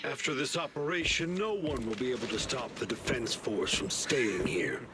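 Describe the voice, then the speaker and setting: A man's voice: medium pitch, animated, normal speed, heavy background noise, lightly acted. A man playing an arrogant, scornful military general in a video game, haughty and berating, with music behind him. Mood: smug. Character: arrogant, scornful military general, self-justifying older commander. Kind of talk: dramatic video game acting.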